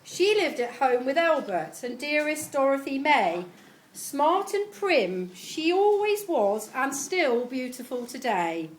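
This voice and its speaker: High-pitched woman reading slowly. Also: big pitch swings, low-quality recording. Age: middle-aged. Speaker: family member reading a tribute poem at a party. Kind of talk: reading a homemade rhyming poem aloud to guests. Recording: live event recording with clear background noise.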